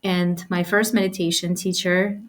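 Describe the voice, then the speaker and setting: A woman from Russia answers interview questions in an earnest, thoughtful way. Her voice sits fairly low. She speaks over a video call. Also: little pitch movement, normal speed. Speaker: guest, spiritual mentor.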